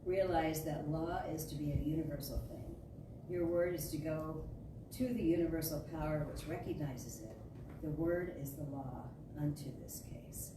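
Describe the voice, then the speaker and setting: A woman giving an emphatic, declarative reading of a spiritual sermon, with deliberate phrasing. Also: low voice. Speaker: storyteller reading a spiritual talk. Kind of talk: dramatic reading of a metaphysical sermon. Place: large indoor spiritual center room. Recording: echoey hall from far off, noisy.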